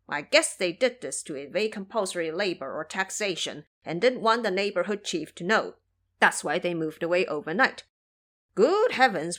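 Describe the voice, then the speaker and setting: Foolish pig sidekick, male woman performer, blunt and full of grumbles, animated intonation, audio drama. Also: mid-range voice, lightly acted. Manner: blunt, complaining, blustering.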